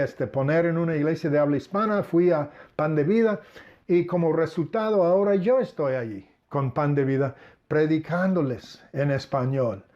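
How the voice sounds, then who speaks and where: Older male pastor in his sixties, earnest and measured, speaking in a somewhat high voice on a muffled recording. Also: darkish tone. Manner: earnest, measured, addressing listeners as brethren. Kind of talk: personal ministry testimony. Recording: dull lo-fi recording.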